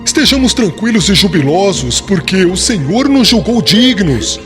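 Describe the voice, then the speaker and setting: Guy reading as a solemn brave apostle calling to his brothers, highish swingy voice, music under it. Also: sing-song, moderate pace, a bit bright. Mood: fervent, exhorting. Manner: solemn, fervent exclamation. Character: serene, valiant apostle exhorting his brethren. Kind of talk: dramatized audiobook reading.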